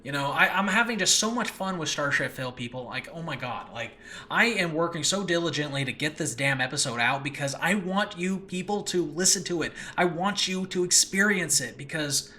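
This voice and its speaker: Bright, forceful, enthusiastic man rambling casually. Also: a little high, normal speed. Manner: enthusiastic, animated, peppered with chuckles and mild swearing. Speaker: podcast host and audio drama creator. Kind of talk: casual solo rambling chat about a show in progress. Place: indoors in a makeshift home space. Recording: faint background noise, makeshift home recording space.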